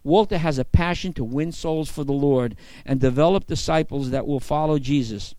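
Church staffer introducing the guest, earnest and measured, close and dry. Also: male voice, fairly high pitch, dark tone, dry recording. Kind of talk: read introduction.

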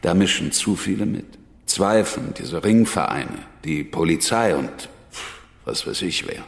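An actor playing a guarded, dry American fugitive in a radio drama, speaking halting, foreign-tinged German with a gravelly voice. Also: male voice, sits low, animated, exaggerated voice. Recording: reverberant church-like hall.

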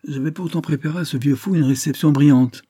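A man's voice: medium pitch, moderate pace, mellow, husky, dry recording, clean studio sound. Man playing a menacing, mocking revolutionary official. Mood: menacing joviality. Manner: ironic, contemptuous, declamatory.

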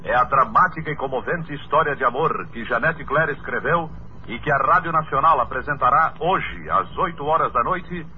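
A man's voice: medium pitch, expressive, normal speed. Tinny old-time Brazilian radio announcer, male. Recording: noisy, tinny vintage radio recording.

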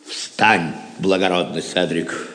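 An actor playing a noble, dignified medieval king in an audio drama, speaking in a formal, measured courtly manner. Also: sits low, fairly even intonation, pushed and bright, full character voice. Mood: gracious. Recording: long hall reverb.

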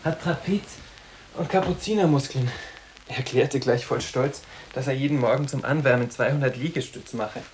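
A man's voice: mid-range voice, moderate pace, slight background noise, close to an everyday voice. An actor playing a sarcastic, blasé teenage boarding-school boy in a radio drama, talking in a dry, offhand way. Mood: blasé sarcasm. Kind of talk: literary German radio drama dialogue.

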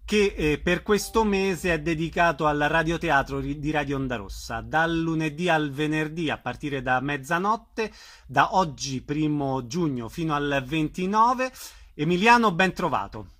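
A man's voice: fairly high pitch, expressive, unhurried, fairly bright tone. A man hosting a live radio show, introducing guests and putting questions to them. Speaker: radio host.

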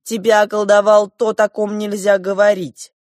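A woman's voice: medium pitch, expressive, slow pace, no echo, studio quality. A woman voicing an earnest younger prince in an audiobook, speaking firmly and emphatically in accusation. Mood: accusing. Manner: firm, emphatic.

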